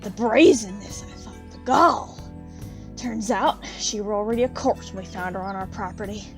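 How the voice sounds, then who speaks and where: A woman's voice: mid-range voice, moderate pace, music in the background, natural voice. A woman playing a poor, plain-spoken country widow, bitter and unsentimental, in an audio drama.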